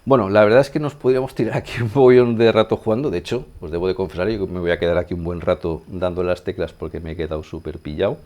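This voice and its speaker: Mellow low-voiced man from Spain, tutorial style. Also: fairly even intonation, fast, few pauses. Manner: conversational, explains step by step, checks in with listeners. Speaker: sound engineer demonstrating a synthesizer. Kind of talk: informal video tutorial, explanatory. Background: synthesizer sounds being demonstrated.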